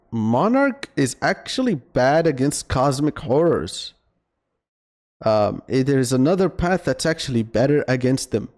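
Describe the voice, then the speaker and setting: A man streaming live, reacting to his own video, talking in a rambling, excitable way with many fillers and restarts while he loses his voice. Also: on the high side. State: losing his voice.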